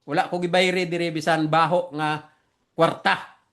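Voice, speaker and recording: High-voiced Filipino man preaching. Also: little pitch movement, fairly bright tone. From Philippines. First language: Visayan. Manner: repetitive, earnest, halting plain English. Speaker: preacher and theologian. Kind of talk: online teaching sermon.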